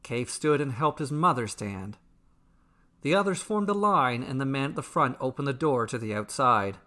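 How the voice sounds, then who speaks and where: Bright-voiced man narrating a fantasy tale. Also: medium pitch, expressive. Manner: measured, dramatic, short deliberate phrases. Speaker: storyteller. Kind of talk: scripted fantasy bedtime narration.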